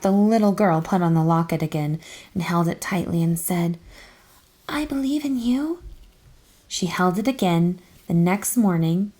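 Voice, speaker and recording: A woman narrating a children's storybook aloud in an expressive, careful storytelling manner. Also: on the low side.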